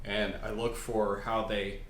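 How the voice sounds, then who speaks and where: A man's voice: fairly low pitch, level tone, measured pace, a bit bright. A man playing a tabletop role-playing game in casual, joking table talk. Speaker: player in a tabletop RPG. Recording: roomy echo, noticeable background noise.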